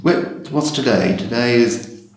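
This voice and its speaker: A man's voice: medium pitch, animated, slow pace, slight background noise. A man playing a busy, apologetic hospital doctor in a training film, speaking politely and hesitantly. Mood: apologetic, flustered. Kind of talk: acted training film scene. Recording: echoey room, faint music.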